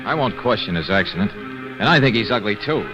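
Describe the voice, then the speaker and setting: An actor playing a tough, impatient private eye in an old radio drama, clipped and wisecracking. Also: male voice, lowish voice, lively intonation, a little fast, natural voice. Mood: brisk, confident. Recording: muffled old radio recording, music behind.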